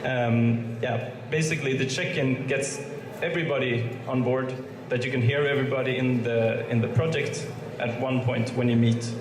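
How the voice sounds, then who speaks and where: A man's voice: medium pitch, steady tone, moderate pace, hardly any pauses, crowd noise. A young man from Sweden giving a student presentation, hesitant with many fillers and restarts. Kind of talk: presentation to an audience. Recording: reverberant hall, faint ambience.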